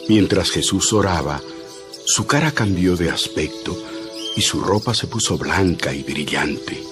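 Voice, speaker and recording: A man narrating a dramatized Bible story in a measured, solemn scripted style. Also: deep voice, animated. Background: prominent music.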